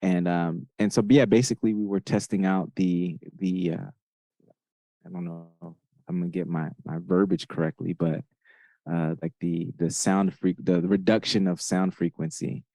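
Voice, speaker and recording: A man in his thirties from Honduras telling his story casually as a podcast guest, often pausing to search for words. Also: low-pitched, little pitch movement, mellow, dry recording.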